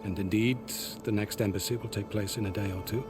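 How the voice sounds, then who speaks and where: An actor plays a cautious, courteous man of rank among a tribe in a video game. His voice is fairly low, with formal, measured phrasing and a little hesitation. Music plays clearly behind. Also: fairly even intonation, close to an everyday voice. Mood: cautious, explanatory. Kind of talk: video game cutscene acting.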